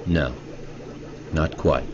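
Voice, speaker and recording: An actor in a radio drama playing a bitter, sleepless scientist, grave, sardonic and accusing, bent on revenge. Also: male voice, very deep voice, steady tone, drawn-out words, darkish tone, full character voice. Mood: grim, accusing. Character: bitter, sleepless male scientist, grimly vengeful. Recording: dull radio drama recording, faint noise.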